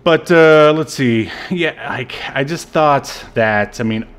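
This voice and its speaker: A man's voice: mid-range voice, slow. Wry male podcast co-host. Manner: wry, sarcastic. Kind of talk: casual movie review chat.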